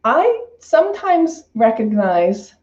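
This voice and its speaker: A woman with a soft-edged, mellow voice speaks as a minister in a roomy, slightly dull recording. Her talk is an informal spiritual sermon, reflective and conversational. She circles back over phrases and rewords them as she goes. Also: on the high side, very animated, takes it slowly.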